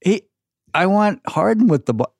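An older man chatting casually about sports as a podcast guest, halting and repeating his words. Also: high-pitched, animated, creaky, no room sound, studio quality.

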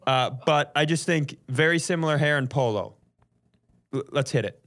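A man hosting a podcast in casual comedic chat, telling stories in an animated way with mock-serious build-ups. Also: a little high, moderate pace. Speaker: podcast host.

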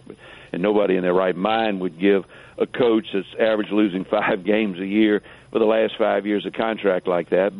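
A low-voiced man gives blunt, emphatic sports opinions as a guest on talk radio. The sound is narrow and tinny, like a phone call.

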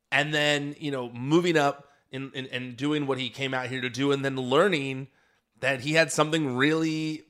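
Man, podcast host, medium pitch, solo intro, earnest, rambling, self-correcting. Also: a bit bright. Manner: earnest, rambling, many restarts.

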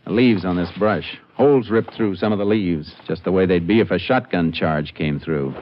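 An actor in a 1950s radio western playing a steady, shrewd Texas Ranger with a firm, matter-of-fact manner. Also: male voice, deep voice, moderate pace, natural voice. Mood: deductive, firm. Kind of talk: 1950s radio drama acting. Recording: dull, noisy old radio recording.

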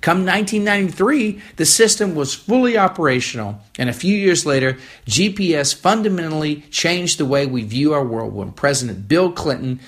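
Gravelly, slightly husky American man. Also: fairly high pitch, animated, moderate pace, hardly any pauses. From United States. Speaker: humanitarian organisation co-founder. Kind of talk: scripted radio essay.

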